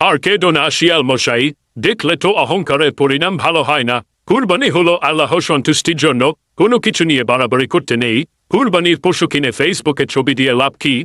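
Man as a higher-voiced preachy cartoon animal. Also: a bit bright, no room sound, studio quality. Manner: moralising. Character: plain-spoken, preachy male animal neighbour. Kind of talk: Bengali cartoon dialogue.

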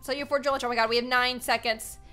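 A woman in her twenties with an American accent, giving excitable, playfully dramatic gameplay commentary and chatting casually to viewers at a close mic in a small room. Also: a little high, rapid-fire, faint music behind. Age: 20s. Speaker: gaming video host. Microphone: desk microphone, close.

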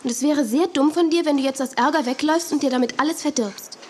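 A woman's voice: sits high, quick pace, a little hiss or hum, lightly acted. A woman playing a kind, encouraging young television contestant in a children's audio drama.

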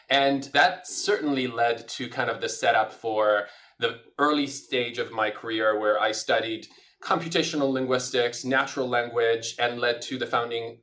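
Bright-sounding middle-aged guy from italy, italian first language, rambly thoughtful answers, lots of "kind of". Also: mid-range voice. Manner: thoughtful, discursive, many fillers. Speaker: podcast guest. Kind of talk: casual interview answers.

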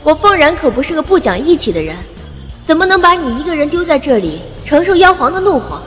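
Woman doing a spirited heroine, squeaky high and bouncy, fast, with music under. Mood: playful. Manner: quick, lively and high. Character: bold carefree young heroine, spirited and loyal. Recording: long reverb, soft music behind.

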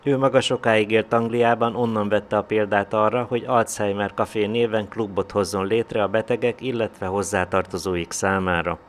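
A man's voice: fairly low pitch, fairly even intonation, few pauses, a little hiss or hum. A man reading a scripted local news report as a voice-over.

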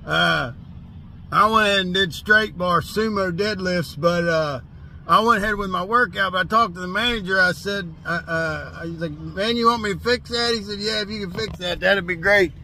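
A man, hosting a welding vlog in a relaxed, folksy way. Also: high-pitched, normal speed, a little hiss or hum. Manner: folksy, relaxed storytelling with fillers. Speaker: welding channel host. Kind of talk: casual vlog talk to camera. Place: in a truck cab, then a kitchen break room.

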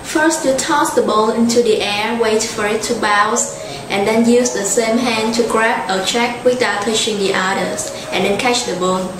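A woman's voice: on the high side, a little slow. An eighteen-year-old woman student from Ho Chi Minh City explaining things step by step in a scripted interview. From Vietnam, Ho Chi Minh City. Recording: very echoey, distant, noisy.

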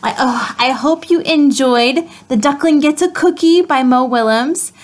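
A woman in her thirties, a preschool teacher, reading a picture book aloud to young children in a gentle, expressive way, recorded at close range on a webcam at home. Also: sits high. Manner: gentle and expressive, slow delivery. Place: room at home, indoors.

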